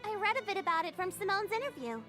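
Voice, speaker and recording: A woman voicing a perky, catty young alchemist in a visual novel, sweet on the surface and quietly cutting. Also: extremely high pitch, animated, fast talker. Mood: cheery catty. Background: game music.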